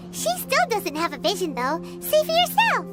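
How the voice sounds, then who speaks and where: A woman's voice: extremely high pitch, huge pitch swings, normal speed, heavily acted. A woman voicing a tiny, blunt, cheeky companion creature in a video game, with a sassy, teasing delivery. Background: game music.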